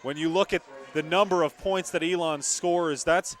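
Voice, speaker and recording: A man's voice: on the high side, steady tone, moderate pace. A young man doing live volleyball play-by-play, emphatic and conversational with his broadcast partner. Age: young adult. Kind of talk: live sports commentary.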